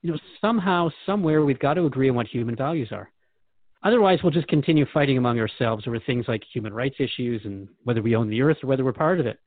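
A man's voice: medium pitch, expressive, fast, dry recording, phone-quality sound, close to an everyday voice. A man playing a curious, earnest seeker questioning a spiritual guide in a conversational scripted dialogue. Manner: inquisitive, conversational.

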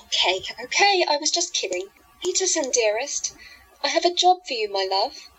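A woman playing a sweetly manipulative hypnotist in a radio play, soft and musical, coaxing people to obey. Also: sits high, sing-song, normal speed, poor audio. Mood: playful. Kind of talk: audio drama acting.

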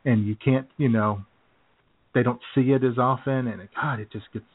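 A man co-hosting a casual talk show, his voice sitting at an ordinary pitch for a man. He rambles easily along and trails off mid-thought. The sound is narrow and phone-like. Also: steady tone, moderate pace, slightly rough. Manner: rambling and easygoing, with many fillers and restarts. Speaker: co-host.

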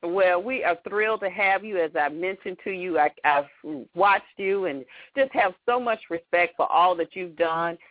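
A woman's voice: lowish voice, moderate pace, hardly any pauses, no echo. A woman hosting a radio interview show, gushing with enthusiasm and praise as she welcomes her guest. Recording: tinny phone-line sound.

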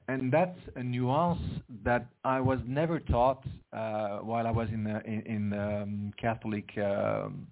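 A man's voice: mid-range voice, vocal fry. Guy, earnest, lots of restarts, tinny phone sound. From Switzerland. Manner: earnest, halting with many restarts. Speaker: author and guest. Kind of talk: interview over the phone. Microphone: phone line.

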